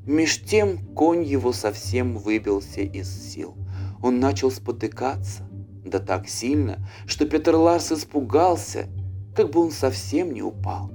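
A man narrating a fairy tale as an audiobook, with an expressive, dramatic storyteller's delivery. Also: medium pitch, measured pace, creaky. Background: faint music.

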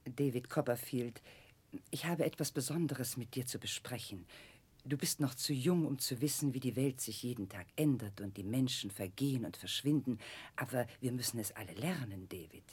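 An actress playing a kindly headmaster's wife in a 1960s radio play, speaking gravely and carefully in a husky voice. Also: female voice, rumbling low, normal speed, darkish tone, exaggerated voice. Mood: grave, gentle.

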